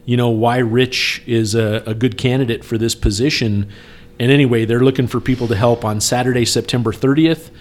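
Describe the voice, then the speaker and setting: Male podcast speaker, mid pitch. Earnest, persuasive, conversational appeal with emphatic repetition. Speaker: speaker appealing for campaign support. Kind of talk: casual podcast talk.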